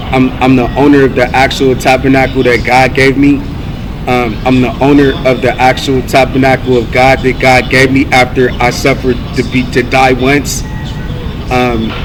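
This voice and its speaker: An American man from California giving a rambling personal monologue in a halting, repetitive, earnest manner. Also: medium pitch, background noise. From United States (California).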